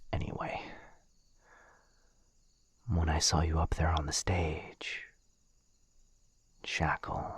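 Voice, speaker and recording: A man playing a gentle, protective, courtly vampire lord in an ASMR roleplay, speaking softly in a husky near-whisper with a hesitant, formal, earnest manner. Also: extremely low pitch, no echo, exaggerated voice. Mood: pained, earnest. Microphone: close studio mic, dry.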